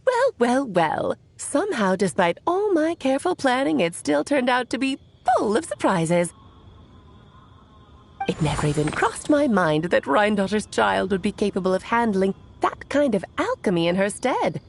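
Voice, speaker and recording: A woman's voice: on the high side, very animated, normal speed, gravelly, full character voice. A woman voicing a playful, theatrical witch in a video game, teasing and amused.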